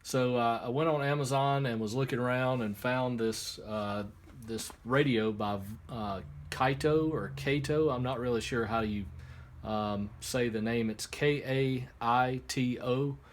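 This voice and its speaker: A man giving a casual, unscripted hands-on product demonstration, explaining step by step in a halting way. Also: medium pitch, steady tone. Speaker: product reviewer. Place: indoor workshop. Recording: clear background noise, room ambience.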